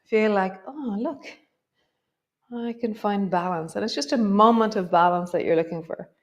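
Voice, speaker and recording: A middle-aged woman, gently guiding a yoga class with a slightly stuffy nose. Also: mid-range voice. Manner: gentle, encouraging, drawn-out cues. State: stuffy nose from a coming cold. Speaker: yoga teacher. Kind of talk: guided yoga class instruction.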